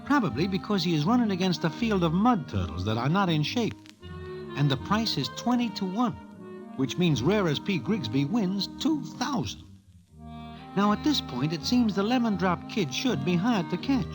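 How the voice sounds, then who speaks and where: High, lively New storyteller. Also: male voice, normal speed, music in the background. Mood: wry. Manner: deadpan, mannered present-tense slangy diction. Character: wry streetwise male Broadway storyteller in racetrack crowd. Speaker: narrator. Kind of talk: 1940s radio narration.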